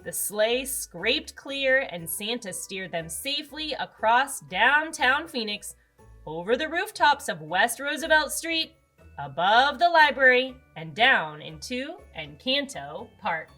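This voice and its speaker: A woman reading a children's storybook aloud as narrator, expressive and with dramatic emphasis. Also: fairly high pitch, takes it slowly. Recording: music under the voice.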